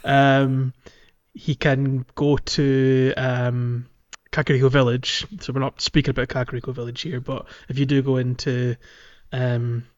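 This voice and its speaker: A man's voice: medium pitch, flat delivery, measured pace, muffled recording. Man, thirties, podcast host, mid-range voice, casual gaming conversation. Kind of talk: casual playthrough chat.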